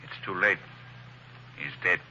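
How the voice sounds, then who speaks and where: A composed, wry gentleman detective with a lowish voice and a sharp, bright edge. Faint hiss from the old radio recording sits behind him. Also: measured pace, lo-fi sound, full character voice. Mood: calm. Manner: cool, dry humor. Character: calm, witty gentleman detective. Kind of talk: 1940s radio acting.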